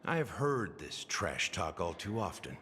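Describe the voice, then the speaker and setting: A world-weary, sardonic security officer from a video game. His voice sits low and swings widely in theatrical, cynical phrases. Faint noise sits behind him. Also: very animated, a little slow, bright, forceful tone, heavily acted. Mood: bitter, indignant.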